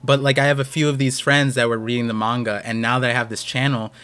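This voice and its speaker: A man's voice: mid-range voice, little pitch movement, fast talker, a bit bright, clean tone. A man hosting a stream, talking casually and rambling with lots of restarts. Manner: rambling, many fillers and restarts. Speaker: host.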